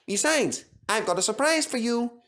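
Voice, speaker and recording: A man's voice: very high-pitched, lively intonation, moderate pace, fairly bright tone, pitched above the performer's own voice. A man voicing a stern male railway controller in a storybook reading. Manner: stern, firm.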